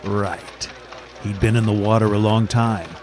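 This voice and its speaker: An actor playing a gruff, dismissive police detective in a radio drama. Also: male voice, fairly low pitch, fairly even intonation, a little slow, noisy background, lightly acted. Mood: gruff, impatient. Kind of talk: old-time radio detective drama acting.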